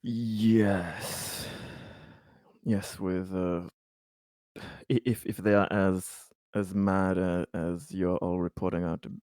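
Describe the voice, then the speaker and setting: A man's voice: deep voice, flat delivery, takes it slowly, darkish tone, no room sound, clean studio sound. A man chatting casually as a player in a tabletop game.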